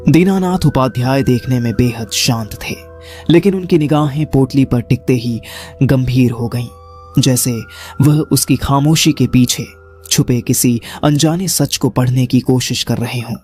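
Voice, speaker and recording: A man narrates a horror tale with deliberate drama. His voice sits at a medium pitch, with faint music running underneath. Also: darkish tone. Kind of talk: scripted horror story narration.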